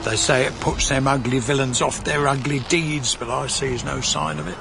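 A man's voice: mid-range voice, steady tone, normal speed, vocal fry, very noisy, natural voice. An actor playing a folksy, teasing old-time countryman in a radio drama, with archaic turns of phrase. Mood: grim, matter-of-fact. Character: cagey, chatty old-time English countryman ghost, gruffly folksy.

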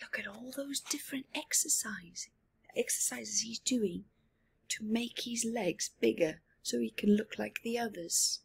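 A middle-aged woman reading aloud to children with commentary, in an expressive, emphatic manner. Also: fairly high pitch, unhurried, vocal fry, breathy edge. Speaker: storyteller for children. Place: small living room, indoors.

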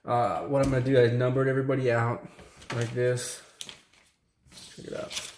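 A man's voice: medium pitch, level tone, moderate pace, a little mellow, slight background noise. A young man casually talking to the camera in a small room, drawing giveaway winners. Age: young adult. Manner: casual, rambling, thankful. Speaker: fishing channel host. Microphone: camera close up at desk.

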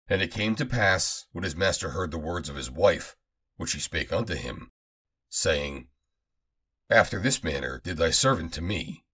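A man with a low, husky voice reads scripture aloud. His delivery rises and falls widely, and he declaims the archaic text in measured phrases. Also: fairly bright tone. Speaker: Bible reader. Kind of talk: scripted scripture reading, solemn archaic narration.

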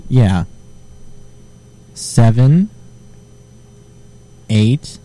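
Dark-voiced deadpan prank caller man. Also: medium pitch, drawn-out words, low-quality recording. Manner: deadpan, provocative. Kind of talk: prank phone call.

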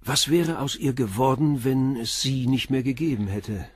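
An actor playing a calm police inspector in a radio play, asking short, persistent questions. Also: male voice, mid-range voice, moderate pace, breathy edge, no room sound, clean studio sound, lightly acted. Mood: probing.

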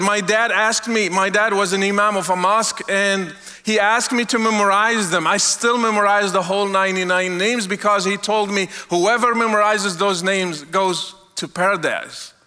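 A man's voice: sits high, moderate pace, bright, forceful tone. A middle-aged man from Morocco giving an earnest, conversational sermon on a large church stage, speaking into a headset mic. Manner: earnest, conversational, some restarts. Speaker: guest speaker. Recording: long hall reverb.

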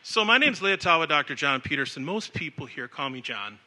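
A man's voice: fairly high pitch, very animated, fairly quick, sharp tone. A man in his forties, born in Samoa and raised in the United States, giving an upbeat, casual live talk and leading the audience. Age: 40s. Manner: upbeat, casual, leads the crowd. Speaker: speaker at an education event.

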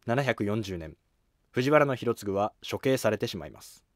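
A man's voice: fairly low pitch, lively intonation, normal speed, no room sound, clean studio sound. A man giving polite, orderly scripted narration for a history drama.

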